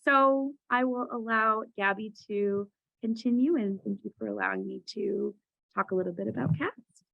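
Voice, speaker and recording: A woman's voice: medium pitch, normal speed, creaky, no room sound. A woman representing a partner organization, speaking casually on a video call and correcting her own slips. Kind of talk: virtual presentation. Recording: dull, dry video call audio.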